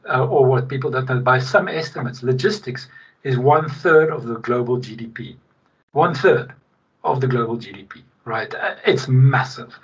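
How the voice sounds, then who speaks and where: A middle-aged man from South Africa, a guest in a casual podcast interview, talking in an animated, emphatic way with frequent restarts. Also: mid-range voice, breathy edge. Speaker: guest, logistics executive. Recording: distant, dull remote-call sound.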